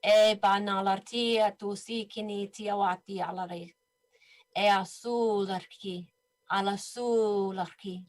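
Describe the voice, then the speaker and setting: A young adult woman on a video call, channeling a spiritual light language in a slow, soft, soothing way. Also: medium pitch, fairly even intonation. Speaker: guest healer. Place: indoor room at home.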